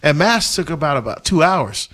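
Animated Barbados-born deacon, higher voice. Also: male voice, moderate pace. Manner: animated storyteller, many fillers and restarts, frequent laughs. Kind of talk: casual conversational chat.

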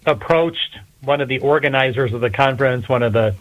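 A male professor answers an interviewer's questions in a thoughtful way, filling his pauses with "um" and "uh". The sound is slightly dull, like a remote connection. His pitch is in a middle range. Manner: thoughtful, with frequent um and uh. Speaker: guest professor.

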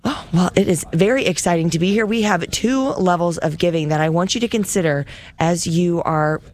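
A woman's voice: on the low side, brisk. A woman hosting a radio pledge drive, chatty and upbeat, listing gifts and repeating the phone number. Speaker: radio fundraiser host.

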